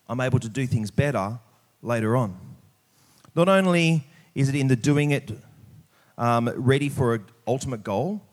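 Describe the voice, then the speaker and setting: A man's voice: mid-range voice, a little mellow. Australian man preaching in a conversational way. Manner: conversational, informal, plain-spoken. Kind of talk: church sermon with prayer, scripture reading and casual anecdotes.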